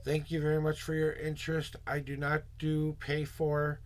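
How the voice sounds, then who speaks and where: A hoarse man hosting a casual tarot livestream, muttering asides to himself and greeting viewers in a loose, chatty way. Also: fairly high pitch, completely flat delivery, a little hiss or hum. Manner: upbeat, drawn-out greetings to viewers. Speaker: tarot reader and livestream host.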